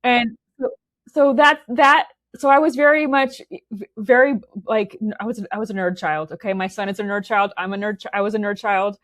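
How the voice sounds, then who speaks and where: A woman's voice: medium pitch, steady tone, normal speed, a bit bright, no room sound, clean studio sound. A woman in her forties from Romania, talking casually as an interview guest, restarting sentences and tagging them with "okay?". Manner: chatty, many restarts and "okay?" tags.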